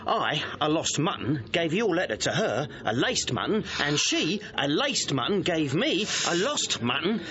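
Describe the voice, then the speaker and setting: An actor playing an impudent, quick-witted male servant in a Shakespearean comedy, with a theatrical delivery. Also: on the high side, big pitch swings, a bit bright, dull audio. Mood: punning, impudent. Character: cheeky quick-witted male servant, punning, money-grubbing. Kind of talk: classical Shakespearean comic acting.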